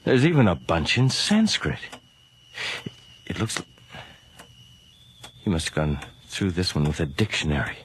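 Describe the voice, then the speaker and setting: A man acting a sharp, excitable investigator in a 1980s radio drama, with a North American accent and a wry, insistent, questioning manner. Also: sits low, measured pace, long pauses. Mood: intrigued, insistent. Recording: noisy old radio drama recording.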